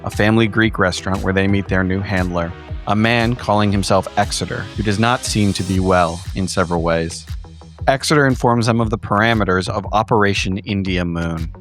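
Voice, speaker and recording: A man narrating a scripted recap of a horror game in a measured, ominous storytelling manner. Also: low voice, a bit bright. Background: background music.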